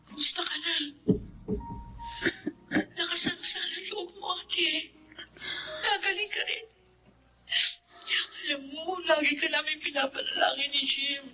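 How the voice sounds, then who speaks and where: A woman's voice: very high voice, steady tone, a little slow, pauses often, bright, forceful tone, soft background music, full character voice, poor audio. A woman playing a kind, forgiving married younger sister in a Filipino radio drama, with earnest, emotional delivery. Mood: tearful, pleading.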